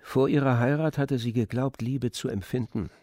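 A man's voice: medium pitch, fairly even intonation, moderate pace, mellow, dry recording. Male literary narrator, dry close sound. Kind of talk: scripted literary narration for radio drama.

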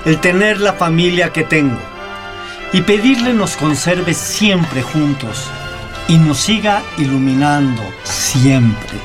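A devout, caring father in a radio drama. His Mexican-accented voice is high for a man, and music plays clearly behind it. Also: animated, slow. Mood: solemn gratitude. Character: grateful, devout father of the family. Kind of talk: radio soap acting.

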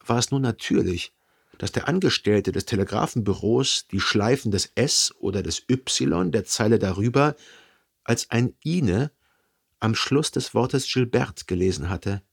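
A man playing a reflective son who narrates his memories in a radio drama, with a husky voice and measured, contemplative delivery. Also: medium pitch, expressive, heavily acted. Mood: pondering. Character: reflective literary narrator, a grown son remembering.

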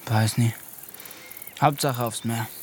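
A man's voice: mid-range voice, fairly even intonation, slow, lightly acted. A man playing a taciturn, tough teenage village boy in a naturalistic German radio drama, answering bluntly in few words. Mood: guarded, gruff. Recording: outdoor ambience with crickets, background noise.

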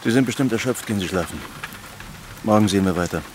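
An actor playing a brisk, matter-of-fact male homicide detective with a dry, businesslike manner in a radio play. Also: on the low side, lightly acted. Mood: brisk, weary. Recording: noisy scene with rain.

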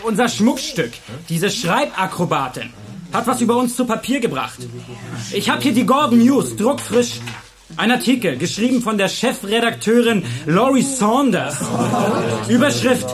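A man acting an angry, fanatical teenage schoolboy in a German radio play, declamatory, contemptuous and commanding. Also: very high voice. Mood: scornful reading aloud. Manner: declamatory, contemptuous, barking orders. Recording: noisy crowd of young people behind.

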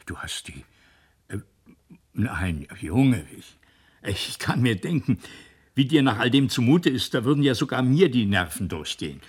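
A man's voice: mid-range voice, sing-song, unhurried, breathy edge. An actor playing a mature company director, an anxious, evasive father who falters, coaxes and insists in a radio drama. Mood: evasive, pressing. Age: middle-aged or older.

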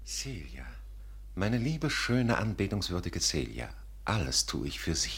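An actor playing a vain, flattering Parisian art dealer, suave, florid and pompous, in a 1950s radio drama. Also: male voice, deep voice, measured pace, creaky, husky, heavily acted. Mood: effusive.

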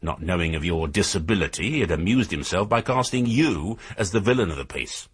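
An actor playing a shrewd, methodical British police inspector in a radio drama, speaking in a measured, formal way with a husky voice. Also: male voice, low-pitched, animated, full character voice. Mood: accusing.

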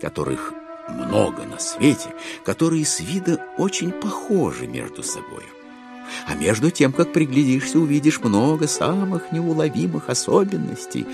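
A man playing a wry author-narrator in an audio drama, phrasing deliberately and expressively with irony. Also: medium pitch, creaky.

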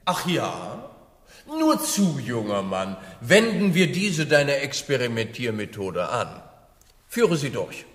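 An actor playing a stern, condescending professor in a satirical radio play, lecturing and mocking. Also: male voice, mid-range voice, huge pitch swings, slow pace, a bit bright, exaggerated voice. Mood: mocking challenge. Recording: echoey hall, long reverb.

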